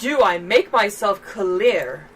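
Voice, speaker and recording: A woman's voice: medium pitch, animated, takes it slowly, soft background music, voice pushed down. A woman voicing a haughty, stern noblewoman who gives clipped, imperious commands in a game story. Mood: cold anger. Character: haughty, stern noblewoman scolding a servant girl.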